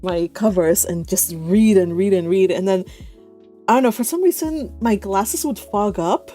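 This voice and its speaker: A woman's voice: medium pitch, unhurried, warm tone, faint music behind. A woman hosting an online read-along, chatting casually and giggly, with rambling anecdotes. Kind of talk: casual group call chat. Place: online voice call.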